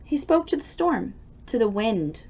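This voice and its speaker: Female storybook narrator for kids: animated, unhurried delivery with dramatic emphasis. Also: a little high, muffled recording, a little hiss or hum. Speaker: children's story reader. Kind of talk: expressive children's storybook read-aloud.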